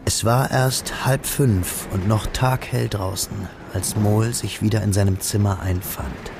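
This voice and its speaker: A man acting as the narrator of a radio play, reading literary prose in a composed, measured, descriptive way. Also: on the low side, fairly even intonation, gravelly, noisy background. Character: composed male third-person narrator of a literary tale.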